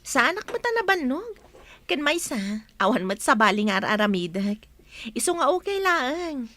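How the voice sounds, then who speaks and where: A woman's voice: sits high, big pitch swings, normal speed, pushed and bright, no echo, heavily acted. An actress with a Filipino accent playing a kindly, inquisitive lady of the house in a radio drama.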